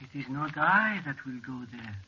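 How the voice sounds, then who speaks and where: A man's voice: mid-range voice, lively intonation, moderate pace, background noise, close to an everyday voice. An actor playing a loyal, superstitious Mexican man in an old radio drama, speaking broken, formal English sprinkled with Spanish in a solemn, earnest way. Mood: sad, dignified. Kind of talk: old-time radio acting. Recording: dull old radio recording.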